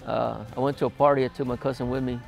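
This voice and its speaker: A man in his twenties, interviewed outdoors in a desert yard, speaking plainly with many hesitant pauses. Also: medium pitch, level tone, creaky, faint music behind. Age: 20s. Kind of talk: documentary interview, recounting his past.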